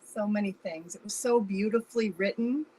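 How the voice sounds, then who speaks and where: A woman's voice: mid-range voice, moderate pace, dark tone, slight background noise, rough recording. A woman giving effusive, emphatic answers as a guest director in an informal interview. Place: remote video call.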